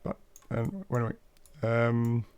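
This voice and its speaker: A man's voice: medium pitch, animated, slow pace, a little mellow, clean tone. A man who is not a native English speaker, casually walking through a flight simulator, hesitant with many fillers and self-corrections. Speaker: flight sim video host. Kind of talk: casual gameplay walkthrough.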